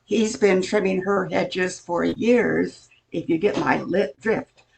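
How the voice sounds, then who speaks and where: A woman's voice: fairly low pitch, slow pace, vocal fry, full character voice, rough recording. An older woman playing a nosy middle-aged gossip in an amateur radio play, chatty and insinuating. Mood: sly, gossipy.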